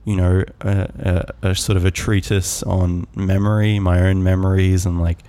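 A man hosting a podcast, musing on his own in a calm, soft voice, rambling with many fillers and restarts. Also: sits low, moderate pace, dry recording. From Australia. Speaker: podcast host. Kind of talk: casual solo musing.

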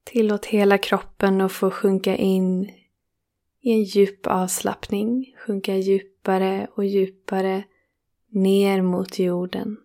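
A woman leading a guided relaxation meditation, speaking slowly and soothingly with long pauses between phrases. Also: medium pitch, level tone, warm tone.

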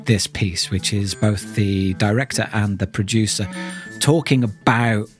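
Guy hosting a podcast, lowish voice, explaining stuff thoughtfully, faint music behind. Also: a little slow. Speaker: podcast host. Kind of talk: podcast introduction and discussion.

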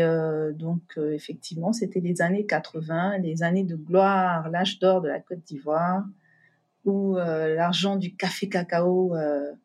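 A woman's voice: on the low side, a little mellow. A middle-aged woman, a guest in a remote interview, talking in a hesitant way with many fillers and restarts. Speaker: podcast guest, entrepreneur. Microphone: remote call.

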